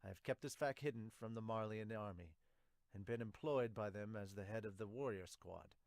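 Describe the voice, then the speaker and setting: A young man giving an amateur dramatic read-aloud of manga dialogue, somewhat halting, into a close mic. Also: lowish voice, a little mellow, no echo. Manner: halting reading with stumbles. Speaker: podcaster reading a manga aloud as an amateur dub. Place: small home room, indoors. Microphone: large studio mic with pop filter, close.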